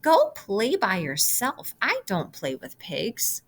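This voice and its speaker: A woman's voice: mid-range voice, huge pitch swings, slow pace, husky, exaggerated voice. A woman voicing a haughty, dismissive young lamb in a storybook, with a curt manner. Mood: annoyed. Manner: snooty, curt.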